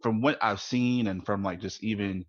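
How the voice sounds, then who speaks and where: A man's voice: lowish voice, steady tone, normal speed, no room sound, muffled recording. A man from the United States hosting a podcast interview, friendly and encouraging toward his guest. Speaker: podcast host. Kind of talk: casual interview conversation.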